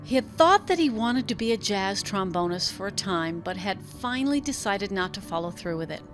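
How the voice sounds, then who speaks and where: A woman's voice: medium pitch, animated, moderate pace, faint music behind. A woman reading a literary story aloud as an audiobook narrator, in scripted narration.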